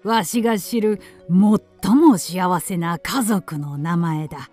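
A woman voicing a poor, kindly old carpenter father in a radio drama, with a gruff fatherly manner. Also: fairly low pitch, faint music behind. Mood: warm. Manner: gruff fatherly, slow and lively.

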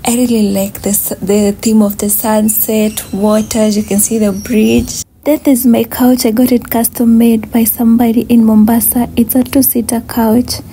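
A young woman from Kenya chatting casually as she shows her small flat, filmed on a phone. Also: fairly high pitch, moderate pace, few pauses, slight background noise, poor audio. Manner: chatty, relaxed, laughs often. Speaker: vlogger giving a home tour. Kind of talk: casual house tour narration. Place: small bedsitter flat, indoors.

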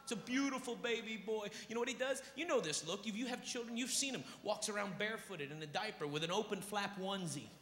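High-pitched male stand-up comic, brash. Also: fast talker, few pauses. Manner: brash, swearing, emphatic delivery that often rises to shouting. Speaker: stand-up comedian. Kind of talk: stand-up comedy routine. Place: large theater stage before an audience. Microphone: handheld stage microphone. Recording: echoey hall with noisy crowd. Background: audience noise and laughter.

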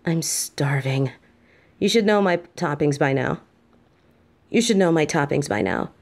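A woman voicing a sweet, playful demon girlfriend who purrs, talking softly and affectionately in an audio roleplay. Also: low voice, expressive, a little slow, creaky, full character voice. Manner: soft, affectionate, drowsy.

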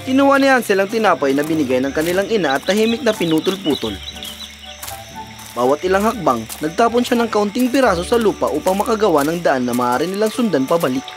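A storyteller narrates a scripted fairy tale with animated, moving intonation. The voice sits in a middle register, with music clearly playing behind it. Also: mid-range voice, fast.

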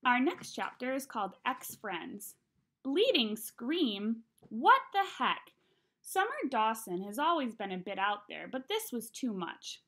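Higher-pitched young woman reading a story aloud. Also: big pitch swings, measured pace, a bit bright. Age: young adult. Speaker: read-aloud narrator. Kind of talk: expressive audiobook-style reading of a children's novel. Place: small room at home.

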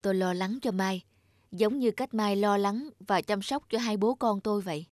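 A man's voice: high voice, normal speed, noisy background, natural voice. A man voicing a lonely husband and father in a cartoon, earnest and given to grumbling. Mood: tender, earnest. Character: lonely husband and father, discontented with his wife. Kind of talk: animated cartoon dialogue. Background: music.

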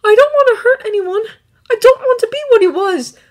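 A woman's voice: lively intonation, brisk. A woman voicing a distraught, stubborn boy in an audio story, emotional and snappish. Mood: pleading, tired. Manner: high, emotional, snappish outbursts. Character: distraught, stubborn, self-blaming human boy.

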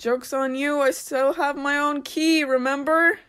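High-voiced, earnest teenage boy acted by a woman. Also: fairly even intonation, a little slow, a bit bright. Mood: defiant. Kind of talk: amateur radio play acting.

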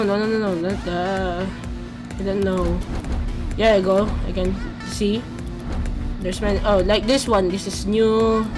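Excitable Filipino gamer, slow speech. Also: male voice, sits high, rough recording. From Philippines. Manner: excitable, shouts at the game, rambling asides. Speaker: let's play gamer. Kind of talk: gameplay commentary.

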